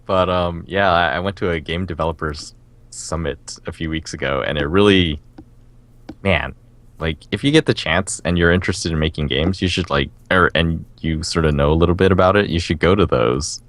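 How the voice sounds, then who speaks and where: A man's voice: sits low, normal speed, a bit bright. A man co-hosting a casual video game podcast, rambling along enthusiastically and often breaking into laughter as he talks. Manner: laughs often while talking. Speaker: podcast co-host.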